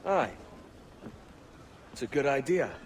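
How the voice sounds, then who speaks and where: An actor playing a cocky, roguish young pirate captain in a video game. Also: male voice, medium pitch, expressive, slow, a little hiss or hum. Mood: scheming.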